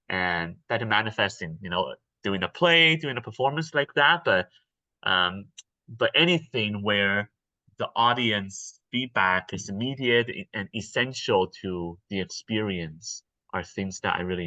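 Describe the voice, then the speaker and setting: A young man from Taiwan, answering interview questions in a relaxed, hesitant way with many ums and restarts. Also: on the low side, no echo, low-quality recording. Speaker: interview guest, actor.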